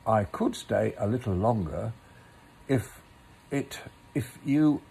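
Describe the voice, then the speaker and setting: A man's voice: lowish voice, lively intonation, slow pace, gravelly, warm tone, slight background noise, full character voice. A man voicing a bumbling, good-natured, greedy storybook bear who stammers and hesitates. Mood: eager, hesitant. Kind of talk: storybook character reading.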